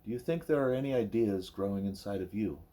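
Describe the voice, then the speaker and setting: A man reading the part of a wise, patient gorilla teacher in an audiobook, speaking in formal, measured, probing sentences. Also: fairly low pitch, mellow, close to an everyday voice. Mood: patient, instructive.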